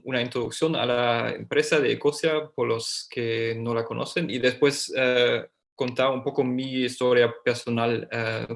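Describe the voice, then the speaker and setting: Gravelly man speaking hesitant second-language Spanish. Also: medium pitch. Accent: Argentine Spanish, learned as a second language. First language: not Spanish. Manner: hesitant, with many fillers, searching for words. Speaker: company founder, guest speaker.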